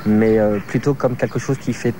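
A man in his thirties, a choreographer from France, answering interview questions in a halting way, searching for words with many fillers. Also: medium pitch, fairly even intonation, noisy background. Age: 30s.

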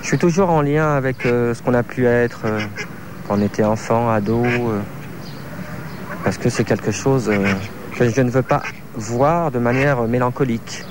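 A man in his thirties, a choreographer from France, answering interview questions in a halting way, searching for words with many fillers. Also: mid-range voice, steady tone, noisy background. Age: 30s.

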